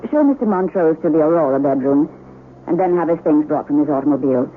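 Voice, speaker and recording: An actress playing a mysterious, genteel lady of a remote mansion in a 1970s radio drama, formal, measured and enigmatic. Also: low voice, animated. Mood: commanding. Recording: tinny, hissy 1970s radio broadcast.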